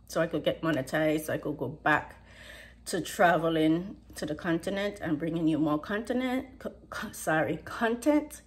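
A woman's voice: lowish voice, darkish tone. A middle-aged woman with a US Virgin Islands Caribbean accent, chatting casually to camera as a vlogger, emphatic and given to repeating her phrases. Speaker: vlogger, host. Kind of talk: casual vlog chat to camera. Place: home kitchen, indoors.

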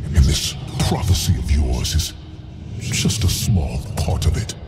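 A proud, self-righteous angel in a fantasy game cinematic. The delivery is haughty and grandiose, extremely low and very slow, over loud swelling music. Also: male voice, very animated, exaggerated voice. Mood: haughty, menacing. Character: proud, imperious male angelic self-proclaimed savior. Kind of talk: theatrical game cutscene acting.

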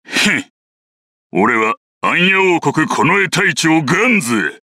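An actor playing an ambitious, thuggish guard captain in a video game, coarse, boastful and menacing. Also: male voice, on the high side, very animated, moderate pace, bright, forceful tone, dry recording, studio quality, exaggerated voice. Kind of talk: video game character voice clips.

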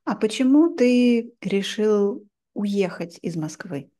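Female interviewer, thirties, mellow timbre, slow and simple questions for learners. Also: medium pitch, expressive. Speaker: host, interviewer. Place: indoor room at home, video call. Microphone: desk microphone.

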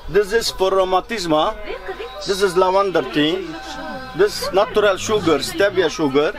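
High-pitched foreign male tea seller, music behind. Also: unhurried. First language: not English. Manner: clipped listing in broken English. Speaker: tea shop vendor. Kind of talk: informal product showing. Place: small spice and tea shop. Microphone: handheld camera a step away.

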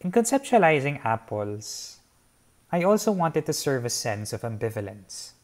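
A man's voice: medium pitch, big pitch swings. Young guy from the philippines explaining his painting, earnest and formal, sitting out on a patio. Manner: measured, earnest, formal wording. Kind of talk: reflective artist talk. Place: covered patio by a garden, outdoors.

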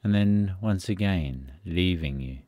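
A man leading a guided sleep meditation in a soothing, unhurried manner, pausing between short phrases. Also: sits low, no room sound, dull audio.

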